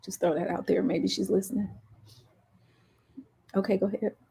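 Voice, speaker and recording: A woman's voice: medium pitch, steady tone, moderate pace, gravelly, mellow, slightly rough. An American woman co-hosting a casual podcast, talking in an opinionated, outspoken way. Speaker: podcast co-host.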